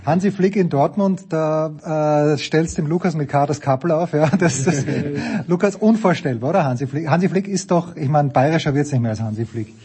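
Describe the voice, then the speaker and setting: A man's voice: on the high side, warm tone, slightly rough. A man with an Austrian accent hosting a casual sports talk show, joking and teasing his panel. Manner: jokey, teasing, quick moderator. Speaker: host.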